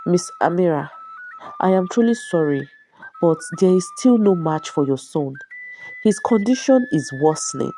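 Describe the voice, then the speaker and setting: Woman playing grave doctor, apologetic, sympathetic, mellow tone, story drama, faint music. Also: on the low side, expressive, normal speed, close to an everyday voice. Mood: solemn. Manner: solemn, apologetic. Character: solemn, sympathetic doctor bearing bad news.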